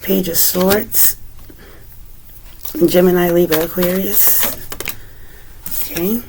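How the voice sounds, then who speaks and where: A woman with a hoarse, recovering voice talks through a tarot spread at a leisurely pace. Her voice sits a little low and she fills pauses with casual fillers. Card shuffling and steady background noise come through the recording. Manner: relaxed, unhurried, chatty with many fillers. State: raspy, recovering voice. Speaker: tarot reader. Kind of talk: casual tarot reading to camera.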